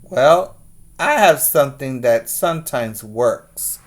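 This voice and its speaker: A man's voice: mid-range voice, expressive, takes it slowly. A man voicing an exasperated mother from a children's storybook, emphatic and pleading. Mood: coaxing. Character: exasperated storybook mother trying to stay nice.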